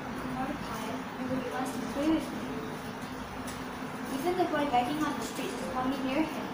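A woman acting a lonely, gentle, insecure young girl in a live musical stage play. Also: high-pitched, steady tone, fairly quick, very noisy, natural voice. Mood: dejected. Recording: very echoey stage, distant mic, loud music.

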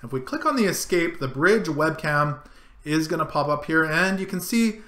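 A man's voice: fairly high pitch, lively intonation, moderate pace, sharp tone, roomy sound. A middle-aged man casually talking through a screen-share tutorial, guiding each click and zoom step by step. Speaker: travel vlogger. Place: home room, indoors.

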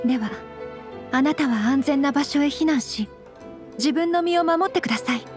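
A woman portrays a young noble swordswoman from an anime game. Her voice is high, her manner composed, formal and courteous, gentle yet firm, with music behind her. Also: normal speed, lightly acted. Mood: calm, resolute. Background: background music.

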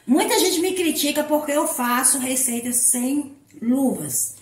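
A woman from Brazil hosting a home soap-making tutorial, hoarse from a cold, talking in a chatty, affectionate way. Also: sits high, lively intonation, measured pace. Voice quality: husky. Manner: chatty, affectionate, repeats "tá, gente?". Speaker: soap-making channel host. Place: home kitchen counter. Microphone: phone camera on the table.

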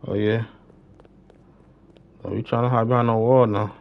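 A young man giving casual live gaming commentary, slangy, repeating short phrases excitedly. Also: medium pitch, normal speed, gravelly, lo-fi sound. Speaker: game streamer.

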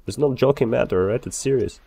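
Profane, excitable man in game roleplay. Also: mid-range voice, fast talker, vocal fry, slightly rough. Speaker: roleplayer playing a bar owner. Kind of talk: improvised in-character game roleplay.

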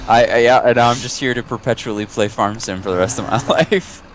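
A man's voice: medium pitch, brisk, background noise. A man co-hosting a video game session, trading wry, crude jokes in casual banter. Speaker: gameplay co-host. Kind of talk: casual let's-play banter.

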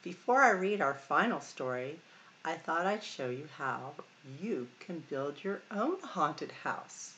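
A middle-aged woman hosting a library storytime, reading picture books aloud in a deliberate, expressive way for young children. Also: low-pitched, muffled recording, slight background noise. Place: small room at home or office, indoors.

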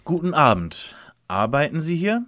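A man's voice: on the high side, very animated, normal speed, fairly bright tone. A man playing a self-assured young lead detective in a parody audio drama, dry, precise and commanding. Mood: dry, composed.

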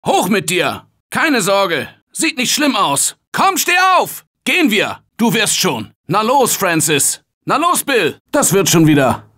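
An upbeat office worker turned zombie survivor for a video game. His bright voice swings widely in pitch as he urges the team on, unhurried between the calls. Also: huge pitch swings, full character voice. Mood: urgent. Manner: urgent encouraging team calls, shouting under attack. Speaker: game character voice. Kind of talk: video game voice lines, dubbed.

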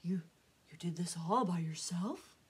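Woman as a husky, pleading old father duck. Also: fairly low pitch, a little slow. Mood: confused, weak. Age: older. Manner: pleading, faltering.

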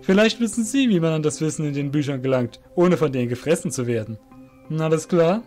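Man acting a commanding fantasy guild master, irritable, loud, emphatic, slightly high, music behind. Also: animated, fairly quick, natural voice. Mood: stern, annoyed.

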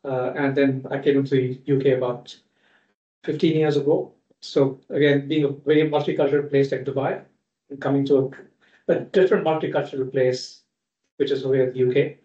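A man from India with an Indian accent, introducing himself at a round-table meeting in a measured way. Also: medium pitch, steady tone, dark tone. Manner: measured, with frequent "uh" and "kind of". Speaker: panelist. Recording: distant, echoey, dull lo-fi room sound.

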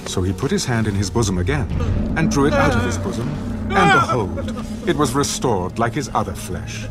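Male scripture narrator, mid-pitched, lively intonation, dramatic scripted read over a clear score. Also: moderate pace. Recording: music behind the narration.

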